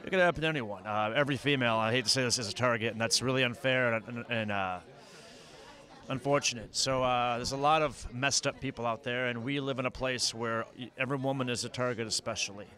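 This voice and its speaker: A man giving casual, halting interview answers at a red carpet event, often repeating his words. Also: medium pitch, pushed and bright. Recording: outdoor crowd noise behind voice.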